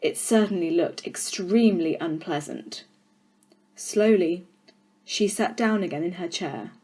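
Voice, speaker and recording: A woman's voice: lowish voice, a little mellow, slightly rough. Woman reading a children's story dramatically. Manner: deliberate, dramatic phrasing. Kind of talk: expressive children's audiobook narration.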